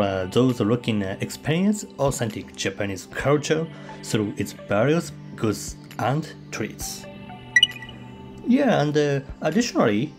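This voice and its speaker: A man narrating a travel vlog in English that is not his first language, pausing with fillers and halting phrasing. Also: medium pitch, a little mellow. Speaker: travel vlogger. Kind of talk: voice-over travel guide.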